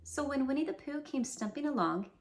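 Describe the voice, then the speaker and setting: A woman's voice: high voice, animated, a little fast. A woman reading a children's storybook aloud, adding chatty asides to her listeners. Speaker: storybook reader. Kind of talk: children's read-aloud narration.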